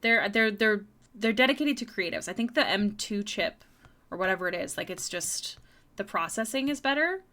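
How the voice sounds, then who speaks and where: A young woman talks casually to her live-stream viewers while she works on a drawing. She is chatty and laughs easily, often repeating words as she thinks aloud. Her voice is bright and crisp, at an ordinary pitch for a woman. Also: lively intonation, normal speed. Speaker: streaming artist.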